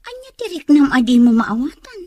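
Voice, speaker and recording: A woman's voice: sits high, big pitch swings, brisk, mellow, no room sound. A woman playing a young confidante in a radio drama, frank and questioning with her friend. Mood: casual.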